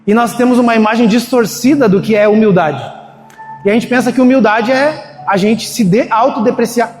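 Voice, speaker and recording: A man's voice: very high voice, moderate pace, a little mellow, faint music behind. A man preaching a sermon in a church in a casual, storytelling way, acting out the voices of people he quotes. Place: church hall. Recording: echoey hall, faint ambience.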